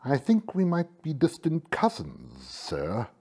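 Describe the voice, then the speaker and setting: A woman voicing a polite, timid male cat with a husky, hesitant delivery in a children's story. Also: medium pitch, huge pitch swings, slow pace, mellow, pitched below the performer's own voice, exaggerated voice. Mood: timid, respectful. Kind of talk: children's animal story character voice.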